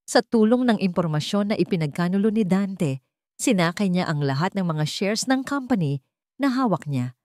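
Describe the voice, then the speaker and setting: A woman's voice: medium pitch, expressive, normal speed, a little mellow, dry recording, studio quality. A woman with a Filipino accent narrates a scripted first-person drama in a solemn, emotive manner. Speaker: story narrator.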